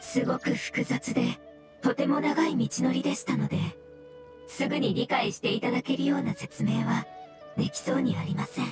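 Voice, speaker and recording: A woman's voice: sits high, moderate pace, loud music behind the voice, lightly acted. A woman voicing a polite, solemn, learned fungus spirit of an ancient race in a video game, speaking in formal, precise sentences. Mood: solemn, wistful. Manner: formal, precise, wordy explanations. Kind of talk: Japanese video game dub acting.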